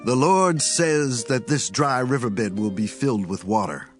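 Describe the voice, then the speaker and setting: A man's voice: medium pitch, a little slow, faint music behind, close to an everyday voice. Stern prophet for Bible audio drama, male actor: medium register, solemn proclamation, scornful authority. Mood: solemn, proclaiming. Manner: solemn, declamatory.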